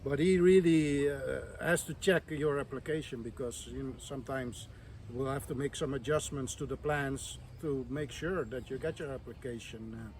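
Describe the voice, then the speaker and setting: A man's voice: medium pitch, moderate pace, darkish tone, slight background noise. A man from the Netherlands who builds villas, giving casual to-camera advice in a plain, hesitant way with many ums.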